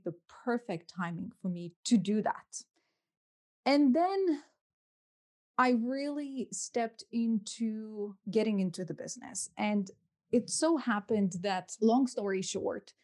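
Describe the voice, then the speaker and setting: A woman's voice: medium pitch, dark tone. A woman in her thirties with a Lithuanian accent, a native Lithuanian speaker, answering interview questions conversationally as a podcast guest and coach. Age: 30s.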